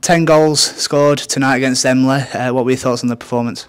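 Male sports interviewer, medium pitch, asking conversational post-match questions for a football club. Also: steady tone, a little fast, clean tone. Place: indoors, off camera.

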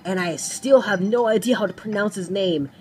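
A woman's voice: medium pitch, moderate pace, a little mellow. A woman doing playful read-aloud video game commentary in a theatrical, sarcastic manner. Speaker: let's play host. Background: video game music.

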